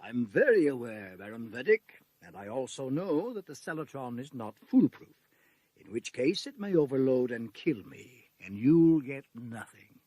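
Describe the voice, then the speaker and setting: Mellow, defiant captive emperor. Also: male voice, mid-range voice, big pitch swings, dry recording. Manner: slow, measured, defiant. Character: defiant captive emperor, weary but proud. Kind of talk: sci-fi audio drama acting.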